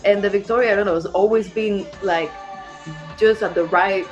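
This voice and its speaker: Woman musician, casual animated storyteller, mid-pitched voice, music underneath. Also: normal speed, lo-fi sound. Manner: chatty, acts out reported speech. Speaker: band musician being interviewed. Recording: music playing behind the voice.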